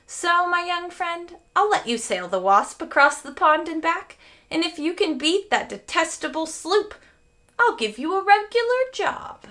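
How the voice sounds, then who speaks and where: A woman voicing an excitable grown man who owns a toy sailboat in a storybook, emphatic and dramatic. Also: very high voice, unhurried, voice pushed up, full character voice. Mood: fervent.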